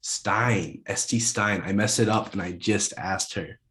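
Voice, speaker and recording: A man's voice: on the low side, a little slow, a bit bright. A man hosting a podcast, asking loose questions full of fillers. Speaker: podcast host. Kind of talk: casual interview chat.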